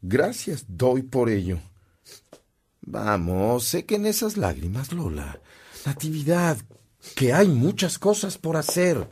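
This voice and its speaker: Slow, solemn Mexican priest. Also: male voice, medium pitch, huge pitch swings, darkish tone, slightly rough, exaggerated voice. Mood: grave, resigned. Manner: solemn, deliberate, heartfelt. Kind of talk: radio drama acting.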